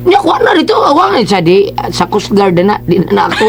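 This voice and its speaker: A man's voice: high voice, sing-song, brisk, slight background noise. A man with a Cebuano accent co-hosting a radio show, casually retelling and bantering. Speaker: radio co-host.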